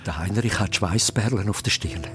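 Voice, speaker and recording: A man's voice: fairly low pitch, normal speed, fairly bright tone, faint music behind. A man with a Swiss accent narrating a children's radio play as a suspenseful story.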